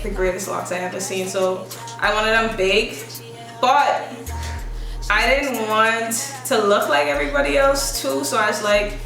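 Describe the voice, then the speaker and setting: A young woman, a musician answering interview questions in a soft, relaxed, rambling way. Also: medium pitch. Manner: soft-spoken, relaxed, loose rambling phrasing. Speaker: musician guest. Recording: echoey room from a distance, music behind.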